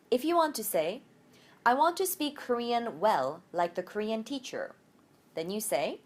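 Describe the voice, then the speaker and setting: High-pitched, animated woman teaching a language. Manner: explanatory, switches between English and Korean examples. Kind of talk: instructional lecture with example sentences.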